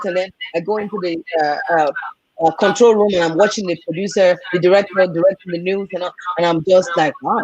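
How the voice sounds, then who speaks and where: A woman with a Nigerian accent, a film and TV producer, telling animated stories as a guest on a video call. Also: medium pitch, husky, dull audio. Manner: animated, repeats words for emphasis. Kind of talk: candid storytelling in a conversation.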